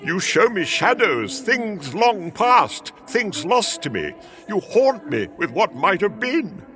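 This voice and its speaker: Anguished old miser, radio drama, widely swinging pitch, halting emotional delivery, music behind. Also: male voice, very animated, full character voice. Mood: anguished pleading. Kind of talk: radio play acting.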